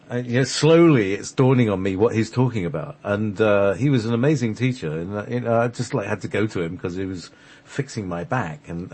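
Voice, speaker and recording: A man's voice: fairly low pitch, darkish tone, slight background noise. A man hosting a radio show, telling stories casually in a rambling, hesitant, jokey manner. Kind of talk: casual conversational storytelling.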